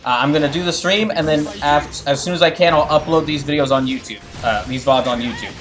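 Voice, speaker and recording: A man talking casually to his livestream chat as a game streamer, halting and rambling as he corrects himself. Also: on the high side, fairly even intonation.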